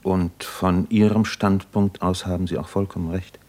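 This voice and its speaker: A proud, weary doctor and writer played by a man in a 1950s German radio drama. His voice is clearly low, and he talks in an earnest, contemplative, educated way. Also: flat delivery, moderate pace, darkish tone, close to an everyday voice. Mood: defensive, proud.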